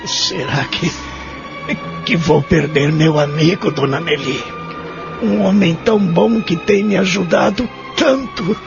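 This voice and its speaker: Man playing a creaky, emotional old Brazilian man. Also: high-pitched, slow pace, full character voice. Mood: worried. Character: lonely, tearful old man in a care home. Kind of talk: audio drama acting and first-person narration. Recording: dull lo-fi audio under music.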